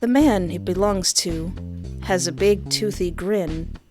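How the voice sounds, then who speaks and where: A woman game streamer with a playful, expressive way of talking, reading narration aloud and commenting as she plays. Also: on the low side, slow pace, over music.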